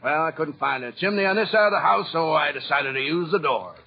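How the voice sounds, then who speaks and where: A breezy young American man with a husky, lively voice that sits fairly high. He is kind-hearted, folksy and teasing, on a muffled 1940s radio drama recording. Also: a little fast, exaggerated voice. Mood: jolly. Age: young adult.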